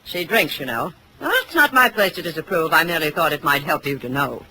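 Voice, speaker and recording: A woman's voice: low-pitched, animated, brisk, pushed and bright, slight background noise, heavily acted, rough recording. An actress playing a prim, wealthy middle-aged stepmother, arch and dryly insinuating, in 1940s radio style. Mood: arch, disapproving.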